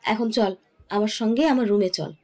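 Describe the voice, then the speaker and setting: A woman's voice: mid-range voice, moderate pace, a little mellow, close to an everyday voice. A woman playing a bossy but caring middle-aged mother-in-law in an audio story. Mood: caring, firm.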